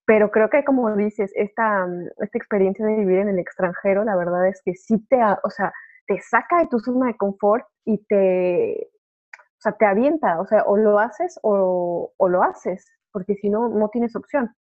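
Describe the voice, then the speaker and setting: A young Mexican woman talks casually about herself as an interview guest. Her voice is medium in pitch and comes through a thin, phone-like connection. She is chatty and often rephrases mid-sentence. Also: normal speed. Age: young adult. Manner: chatty, informal, self-correcting. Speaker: podcast guest. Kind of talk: casual chat, personal story. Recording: narrow phone-like remote call audio.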